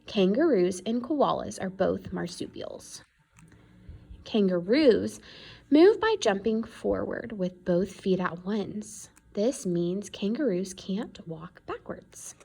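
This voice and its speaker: Woman doing a read-aloud science lesson, mid pitch, bit of vocal fry, stresses the key words. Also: sing-song, slow, mellow. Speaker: reader reading a children's science book aloud. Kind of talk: read-aloud educational narration.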